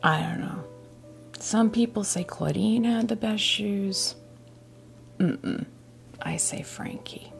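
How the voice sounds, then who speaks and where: A woman hosting an ASMR doll unboxing, chatting casually and softly to camera, gushing over small details in an unhurried way. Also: mid-range voice, creaky, soft background music.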